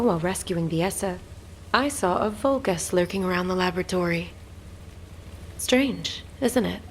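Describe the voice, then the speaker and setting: An actress playing a sly, flirtatious former gang fixer in a video game, with a teasing, sultry, confident manner. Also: female voice, fairly low pitch, slight background noise, low-quality recording. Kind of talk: video game character acting.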